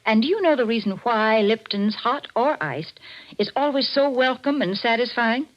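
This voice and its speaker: Muffled old radio ad: chatty woman hostess, fairly high voice, very animated, emphatic. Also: normal speed. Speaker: commercial announcer and hostess. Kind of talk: 1940s radio advertising pitch. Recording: muffled vintage radio recording.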